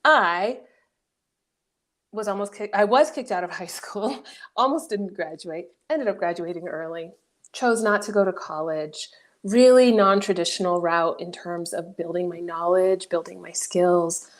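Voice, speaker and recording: A woman's voice: fairly low pitch, a little slow. Woman in her 40s from new mexico, storytelling on a podcast call, laughs a lot, reflective. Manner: reflective, animated, laughs often. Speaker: guest coach. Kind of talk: personal storytelling in interview.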